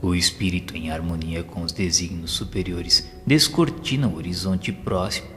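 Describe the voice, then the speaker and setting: A man with a low voice narrates an audio novel in solemn, formal oratory. His delivery is measured and sermon-like. Long reverb surrounds the voice, with music playing underneath. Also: pushed and bright.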